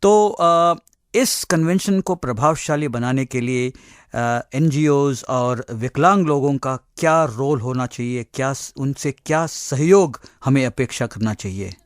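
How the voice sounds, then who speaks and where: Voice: medium pitch, unhurried, no echo, clean studio sound. A radio interviewer asking questions politely, with frequent hesitations.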